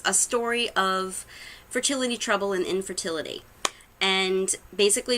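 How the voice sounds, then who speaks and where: A woman's voice: medium pitch, sharp tone. A woman in her thirties from Massachusetts with a Boston accent, chatting casually to a camera in a rambling way with many ums. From Massachusetts, USA. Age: 30s. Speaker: vlogger introducing her channel.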